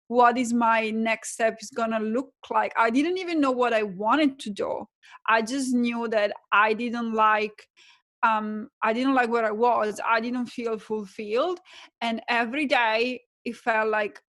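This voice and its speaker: A woman's voice: fairly high pitch, a bit bright. A young adult woman from Italy with an Italian accent, a guest coach telling her story reflectively in a casual podcast interview. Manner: reflective, storytelling, uses fillers like "um" and "like".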